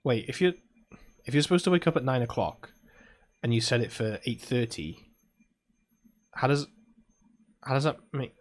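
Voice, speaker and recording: A man's voice: mid-range voice, lively intonation, moderate pace, long pauses. A British man, host of his channel, giving casual, chatty commentary with self-mocking asides while playing a visual novel.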